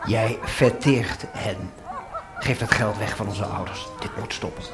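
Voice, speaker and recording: A fretful, controlling brother in a radio play. His voice is fairly low and full of indignation, with music clearly behind it. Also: lively intonation, measured pace. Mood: indignant. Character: indignant, controlling brother fretting over family money. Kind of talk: radio drama acting.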